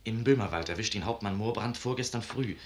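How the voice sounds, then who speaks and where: An actor playing a junior officer bringing a report, clipped and dutiful, in a 1950s German radio play. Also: male voice, lowish voice, a little fast, close to an everyday voice. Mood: urgent, factual. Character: military messenger, junior officer reporting urgently.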